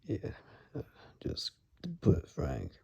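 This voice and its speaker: A man playing a gruff, protective forest dweller in an ASMR roleplay, speaking softly and huskily in a hesitant murmur, often whispering. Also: takes it slowly, lightly acted. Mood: cautious, warning. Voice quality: soft, breathy, husky, often whispered.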